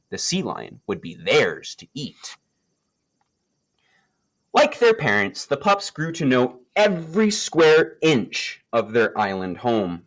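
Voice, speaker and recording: Man reading a storybook with lively intonation. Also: fairly high pitch. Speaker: storybook reader. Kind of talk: read-aloud children's nature story.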